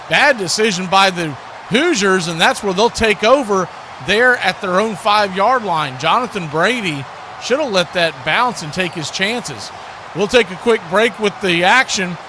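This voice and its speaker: A man calling live football play-by-play for a radio broadcast. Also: high-pitched. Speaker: play-by-play announcer. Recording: clear background noise.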